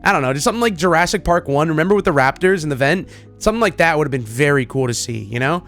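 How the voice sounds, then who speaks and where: A man with a slightly high voice talks as a gaming video creator. His commentary is casual and excited, wandering loosely from thought to thought. Music plays faintly behind him. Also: racing. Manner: informal, enthusiastic, rambling.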